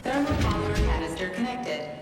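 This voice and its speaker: A woman's voice: mid-range voice, lively intonation, fairly quick, loud music behind the voice, close to an everyday voice. A woman voicing a formal facility announcement system in a video game, giving public-address notices. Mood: formal, neutral. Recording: echoey hall loudspeaker, far off.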